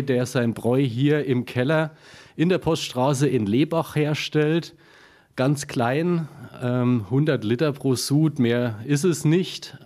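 A man from Upper Franconia with a Franconian German accent, talking in a relaxed, explanatory way with plenty of fillers. His voice sounds mellow and dark. He sits in a small basement brewery room. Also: medium pitch. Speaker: small craft brewer, guest. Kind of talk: casual interview.

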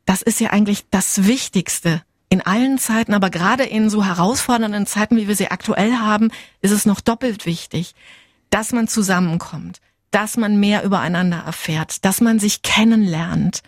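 A woman's voice: medium pitch, normal speed, no echo, studio quality. A woman interviewing guests, asking questions in a friendly, inquisitive way.

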